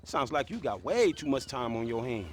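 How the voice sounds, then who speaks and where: A man's voice: medium pitch, very animated, normal speed, a little hiss or hum, lightly acted. A man playing a blunt, needling guy in a video game cutscene, offhand and snide. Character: blunt, needling man making idle conversation.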